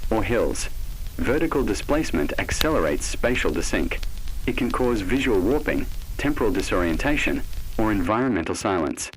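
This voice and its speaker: A man reading a scripted analog horror narration in a measured, cryptic way, with short phrases and deliberate pauses. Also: fairly low pitch, slight background noise, poor audio. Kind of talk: scripted horror narration, ominous second-person address.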